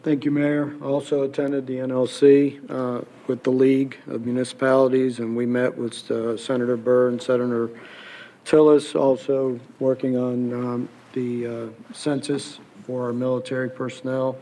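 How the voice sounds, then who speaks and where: A man's voice: mid-range voice, level tone, moderate pace, dark tone. A man serving as mayor pro tem, giving a businesslike council report. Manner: listing, businesslike. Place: council chamber.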